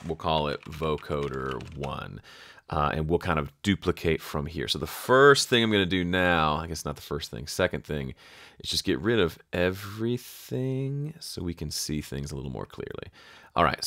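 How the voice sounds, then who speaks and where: A man with a low voice talks through a software tutorial in a dry, close studio sound. He is casual and conversational, often thinking aloud and interrupting himself as he explains. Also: dry recording. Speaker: audio production tutorial host. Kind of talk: casual screen-share tutorial talk.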